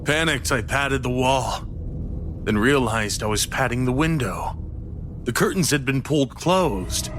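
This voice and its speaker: A man's voice: mid-range voice, background noise. A man narrating a first-person horror story in a dramatic storytelling style. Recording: music behind the voice. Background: prominent background music.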